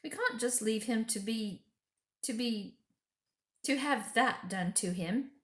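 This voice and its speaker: A woman's voice: fairly high pitch, takes it slowly, a bit bright, roomy sound, voice pushed up. A woman voicing an earnest, brave, impulsive schoolboy in a children's story, speaking urgently with old-fashioned phrasing.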